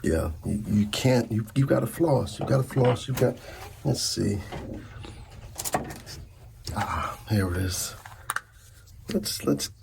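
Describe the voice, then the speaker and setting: Background noise and clicks sit under a middle-aged man's ASMR dentist roleplay. His voice is on the low side and gravelly, with informal, halting chairside patter that swings widely in pitch. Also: expressive. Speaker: ASMR performer playing a dentist. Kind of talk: ASMR roleplay, talking to the listener as patient.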